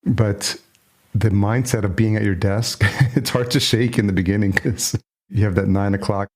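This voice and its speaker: American male podcast host, low voice, chatty and reflective interview delivery. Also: fairly even intonation, normal speed, a little mellow. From New York, United States. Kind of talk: conversational interview.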